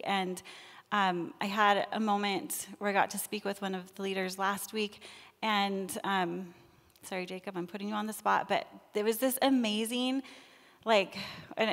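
Soft-spoken woman preaching in a reverberant church. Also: medium pitch. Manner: soft-spoken, talks fast when excited. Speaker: lay preacher. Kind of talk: sermon. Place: church sanctuary, indoors. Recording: long reverb of a church hall.

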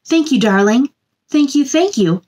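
A woman in her thirties doing a children's read-aloud, with a gentle sing-song delivery and chatty asides addressed to young listeners. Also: high-pitched, animated, a little slow. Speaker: children's storytime host reading a picture book aloud. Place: small indoor room.

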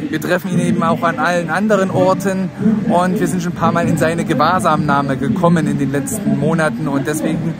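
Thuringian man in his 40s, high voice, long rambling earnest answers, loud traffic all around, outdoor square, camera close. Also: flat delivery, fairly quick. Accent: Thuringian German. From Thuringia, Germany. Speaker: interviewee, party singer and DJ. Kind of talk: casual street interview. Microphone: camera held close to face. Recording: loud street and traffic noise. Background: traffic and city ambience.

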